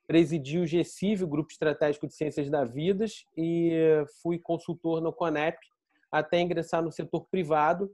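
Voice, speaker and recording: A man's voice: fairly high pitch, steady tone, normal speed, darkish tone, no echo. A middle-aged Brazilian man with a Carioca accent, giving a conversational self-introduction as a panel guest. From Rio de Janeiro, Brazil. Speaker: panel guest, scientist and pharmaceutical innovation director.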